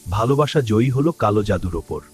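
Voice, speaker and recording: A man's voice: lowish voice, animated, fast, husky. A man narrating a cartoon fairy tale in a storytelling manner. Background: faint music.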